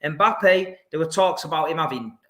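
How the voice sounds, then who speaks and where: A man with a bright, forceful voice hosts a relaxed football podcast. He keeps his questions simple and direct and speaks a little high for a man. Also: normal speed. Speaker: podcast host. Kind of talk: casual podcast chat.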